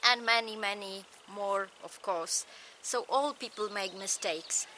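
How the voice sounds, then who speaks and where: A woman with a Polish accent, a native Polish speaker, giving a recorded lecture in slow, measured, short phrases. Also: medium pitch, bright, forceful tone, a little hiss or hum, poor audio.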